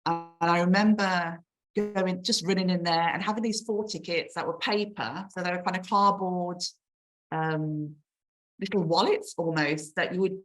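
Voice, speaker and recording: A woman's voice: on the low side, fairly even intonation, normal speed, rough recording. A woman in her forties with a Birmingham accent, chatting casually as an author on a video call, with frequent ums and restarts. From England. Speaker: children's author. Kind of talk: casual online talk.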